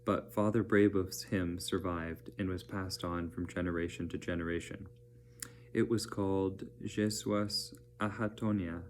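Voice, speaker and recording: A man reading educational narration aloud as a teacher, in a measured, plain-spoken manner. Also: deep voice, slight background noise.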